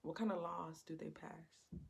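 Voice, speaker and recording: A woman's voice: low voice, steady tone, measured pace, vocal fry, a little mellow. A woman giving an informal Bible teaching in a conversational way, repeating her phrases and acting out quotes. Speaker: preacher.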